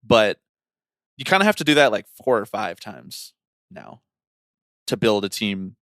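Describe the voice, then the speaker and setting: A man's voice: lowish voice, big pitch swings, unhurried, a bit bright, no room sound, studio quality. A man in his twenties co-hosting a casual baseball podcast, laying out analysis in long, reasoned runs with repeated phrasing. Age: 20s. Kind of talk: casual sports talk, analysis.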